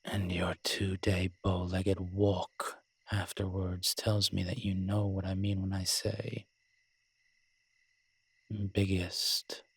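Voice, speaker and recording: Male actor as devoted alpha werewolf lover for romantic audio drama: low, even, intimate, teasing and protective. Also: vocal fry, breathy edge, no room sound, exaggerated voice. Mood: playful, teasing. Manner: tender, teasing, unhurried pauses.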